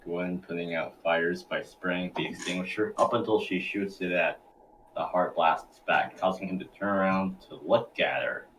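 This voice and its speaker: A man's voice: low-pitched, steady tone, normal speed, distant mic, noisy background. A man reading scripted narration for a table read, now and then stumbling over his words.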